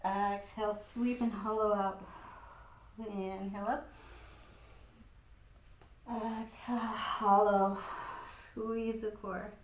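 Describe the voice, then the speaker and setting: A woman with a croaky voice guiding a gentle yoga class in an old studio, cueing calmly with light laughs. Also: mid-range voice, slow, long pauses, echoey room, a little hiss or hum. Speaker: yoga instructor.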